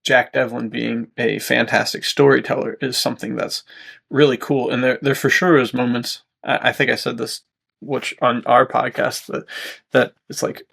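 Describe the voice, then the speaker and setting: A man co-hosting a podcast in casual chat, haltingly thinking aloud. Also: medium pitch, level tone, creaky, fairly bright tone, husky. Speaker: podcast co-host.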